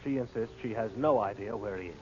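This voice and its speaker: A man's voice: lowish voice, fast talker, darkish tone, lightly acted. An actor playing a cocky, wisecracking impostor and reluctant henchman in an old radio drama, breezy, slangy and sardonic. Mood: matter-of-fact. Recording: dull, noisy old radio recording.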